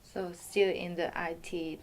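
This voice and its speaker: Female interviewer, slow deliberate pace, lower voice, hesitant questions. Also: flat delivery, a little hiss or hum. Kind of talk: oral history interview.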